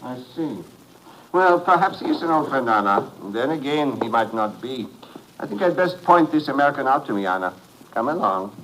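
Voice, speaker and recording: An actor playing a suave, calculating crime boss in an old radio drama, smooth, measured and formally courteous. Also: male voice, medium pitch, expressive, close to an everyday voice. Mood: quietly suspicious. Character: suave, calculating male European criminal boss. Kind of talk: 1950s radio drama acting. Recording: noisy old radio recording.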